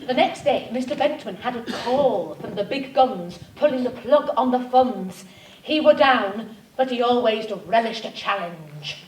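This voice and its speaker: A woman's voice: a little high, expressive, measured pace. A woman playing an earnest, deferential assistant in a comic radio-theatre parody, speaking in a formal, theatrical way. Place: arts centre stage, live performance. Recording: roomy live stage, very noisy, distant.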